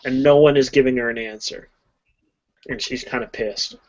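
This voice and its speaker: A woman casually narrating a tabletop game as game master, halting with fillers. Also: extremely low pitch, fairly even intonation, a little mellow, slight background noise. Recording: dull lo-fi home mic.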